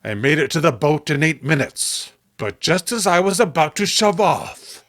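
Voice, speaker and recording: A man's voice: on the high side, sing-song, moderate pace, fairly bright tone, no room sound. A man narrating a horror comic as a dramatic scripted story, in a grave, theatrical storytelling manner.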